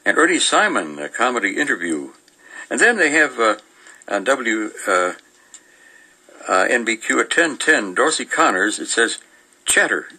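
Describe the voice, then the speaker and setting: An older man co-hosting a radio show, reading through old listings and reminiscing in a halting, meandering, casual way with chuckles. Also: medium pitch, very animated, pushed and bright, slightly rough, poor audio.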